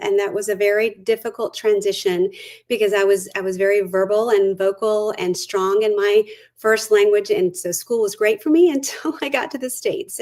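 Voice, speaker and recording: A woman's voice: medium pitch, a little mellow. A woman in her forties from Brazil, a curriculum lead giving an upbeat webinar presentation with personal stories over a computer mic. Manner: upbeat, eager, personal storytelling.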